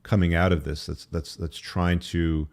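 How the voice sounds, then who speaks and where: A man's voice: low voice, little pitch movement, measured pace. A man speaking as an interview guest, explaining his opinions in a conversational, opinionated way. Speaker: podcast guest, author.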